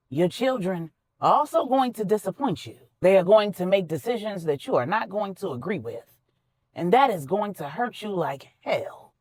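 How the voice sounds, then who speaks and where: Candid matriarch for audio fiction, acted by a woman; preacherly, heartfelt counsel with lively pitch movement. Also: slightly rough, dry recording. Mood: consoling, firm. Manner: earnest, emphatic, preacherly, folksy. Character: wise, candid older mother giving heartfelt counsel.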